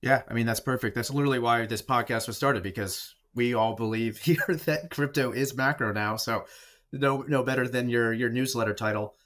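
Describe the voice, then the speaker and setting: A man's voice: mid-range voice, normal speed, a bit bright. A man hosting a podcast in a casual, informal and jokey manner. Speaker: podcast host.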